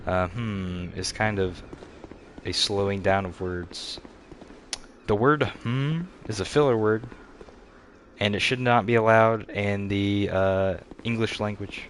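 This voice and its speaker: Slow, low-voiced man streaming a game. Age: 30s. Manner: laid-back, chats with viewers and laughs often. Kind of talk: casual gameplay commentary. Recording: steady background noise.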